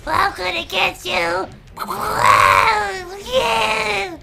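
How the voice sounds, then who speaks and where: Very high, cute blob monster. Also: male voice, very animated, vocal fry, very noisy, exaggerated voice. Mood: gleeful menace. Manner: squealing, drawn-out cries. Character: cute, excitable childlike blob monster boss. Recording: loud game music behind.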